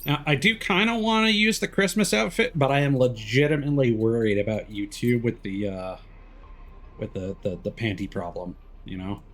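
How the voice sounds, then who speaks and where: A man doing casual live commentary as a video game streamer, thinking aloud with hesitant pauses mid-sentence. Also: medium pitch, a bit bright.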